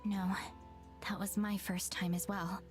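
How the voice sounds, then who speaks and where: Young woman played by an actress, composed, caring, guarded, mid-pitched, game dialogue, soft music behind. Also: little pitch movement, unhurried, lightly acted. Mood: calm, guarded. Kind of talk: visual novel voice acting.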